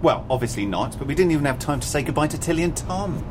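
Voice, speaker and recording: A man's voice: a little high, animated, quick pace, noisy background, lightly acted. A man playing a glum, complaining young man in a radio comedy. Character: grumpy, downbeat young man forced to relocate. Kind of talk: radio sitcom acting.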